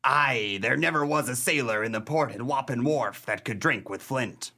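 Pirate for radio comedy: gruff, vain, suspicious, gravelly creak, forceful edge, broad seadog dialect. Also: male voice, medium pitch, moderate pace. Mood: wistful. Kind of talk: comic radio play acting.

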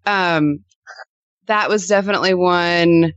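A woman chatting casually as a podcast guest, telling animated anecdotes and acting out reactions in quotes. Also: fairly low pitch, takes it slowly, clear, resonant tone, dry recording, muffled recording. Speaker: podcast co-host appearing as guest. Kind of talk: casual interview chat.

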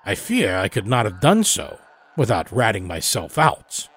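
A man voicing an elderly, evasive doctor in a horror story, speaking in a formal, measured, grave way. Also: fairly low pitch, wildly swooping pitch, creaky, a bit bright, faint music behind, heavily acted. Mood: confiding, formal. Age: older. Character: elderly evasive doctor running a secret time-travel clinic. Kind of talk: dramatic audio horror storytelling.

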